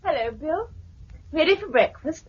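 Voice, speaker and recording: High, friendly female companion. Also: expressive, moderate pace. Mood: cheerful. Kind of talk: 1950s radio drama acting. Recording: muffled vintage radio recording.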